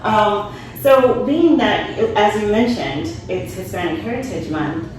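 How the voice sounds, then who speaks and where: A woman's voice: mid-range voice, lively intonation, a little slow. A woman hosting a conversational interview, affirming her guest and recapping what is said. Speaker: interviewer, marketing host. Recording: very echoey room, distant mic, noisy.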